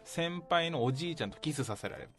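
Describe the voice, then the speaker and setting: Lively young man over background music. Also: a little high, fast. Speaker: radio show co-host. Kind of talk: casual chat. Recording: music bed behind voice.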